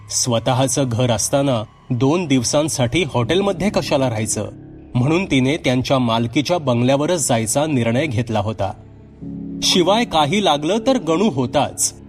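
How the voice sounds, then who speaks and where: A man narrating a scripted horror story as a storyteller, in a dramatic, suspenseful manner. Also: medium pitch.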